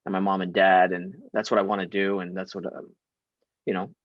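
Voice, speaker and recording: Young adult male guest, emphatic and animated, mid pitch, dry slightly muffled audio. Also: fairly quick, dry recording. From Syria. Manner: animated, emphatic, laughs often. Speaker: guest actor. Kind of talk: casual interview chat.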